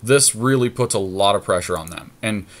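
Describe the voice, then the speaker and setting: A man co-hosting a gaming podcast, talking casually and deliberately as he works out strategy aloud during a card game. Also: on the low side. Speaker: podcast co-host commentating on own card game play.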